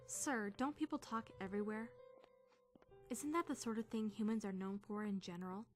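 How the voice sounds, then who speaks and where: A woman's voice: mid-range voice, normal speed, lightly acted. A woman playing a sarcastic, easily flustered teenage girl trainee in a visual novel dub, narrating her thoughts and stammering when nervous. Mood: exasperated. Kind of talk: first-person visual novel narration and dialogue. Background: music.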